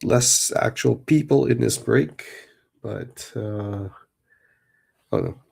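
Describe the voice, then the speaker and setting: A man hosting a livestream card break, chatting casually, a little hoarse and nasal from a cold. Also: medium pitch, takes it slowly. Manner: relaxed, chatty, many fillers.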